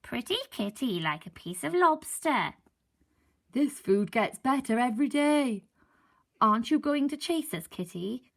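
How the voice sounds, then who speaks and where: A woman's voice: a little high, expressive, measured pace, gravelly, a bit bright, heavily acted. A woman voicing cheeky, greedy seagulls with a cocky, taunting way of talking.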